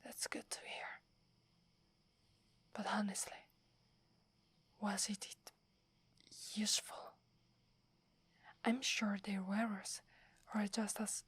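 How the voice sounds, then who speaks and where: A woman with an Italian accent voicing a loyal, deferential young werewolf warrior in a breathy, hesitant ASMR whisper roleplay. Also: medium pitch, level tone, slow pace, long pauses, no echo. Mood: relieved, reflective. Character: loyal, eager young female werewolf warrior devoted to her alpha.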